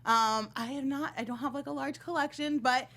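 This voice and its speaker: A woman with a high voice chats as a guest on a review panel. She is casual and breezy, with informal, slangy phrasing. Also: fairly even intonation, fairly quick, sharp tone. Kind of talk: casual, chatty panel discussion.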